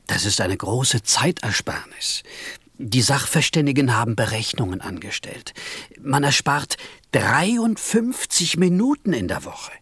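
Very husky, breathy pill merchant. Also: male voice, mid-range voice, big pitch swings, measured pace, a bit bright, no room sound, full character voice. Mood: smug, confiding. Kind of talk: German radio play acting.

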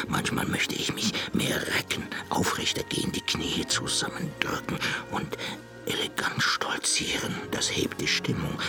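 A lonely monster, part ape and part human, in a radio play. The voice is low and crackles with fry, often slipping into breath as it muses in broken phrases. Music plays clearly behind it. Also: male voice, heavily acted. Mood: weary, melancholy. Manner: fragmented, halting, introspective phrases. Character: lonely brooding male ape-human hybrid creature, self-declared monster. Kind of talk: literary radio drama monologue.

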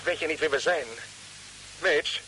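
High-voiced, urgent space commander. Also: male voice, bright, forceful tone. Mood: bewildered. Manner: brisk, insistent orders. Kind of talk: vintage radio drama acting. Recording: noisy, crackly old radio recording.

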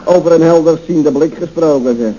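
An actor playing a deadpan, pedantic young nephew who needles and corrects his uncle in an old radio comedy. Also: fairly high pitch, moderate pace, close to an everyday voice. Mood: wry. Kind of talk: 1970s Dutch radio comedy acting. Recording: muffled, hissy old radio recording.

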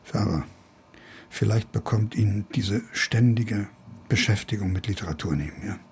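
An actor playing an ailing, sardonic older literature professor in a radio drama, condescending and needling, with a gravelly, husky voice. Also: male voice, on the low side, normal speed, a little mellow, a little hiss or hum, natural voice. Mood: weary, philosophical.

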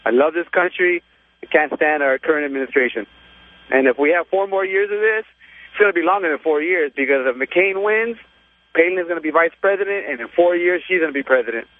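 A man from Los Angeles calls in, indignant and exasperated. His voice sits a little high. The line is thin and tinny, with a dry sound. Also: fairly quick, dry recording. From Los Angeles, United States. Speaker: caller. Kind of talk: call-in opinion.

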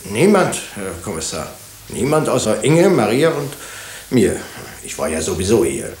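Dry doctor, lively pitch. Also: male voice, moderate pace. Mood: dry, composed. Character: self-possessed, dry male hospital doctor under suspicion. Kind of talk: German radio drama acting. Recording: roomy, echoing radio drama studio.

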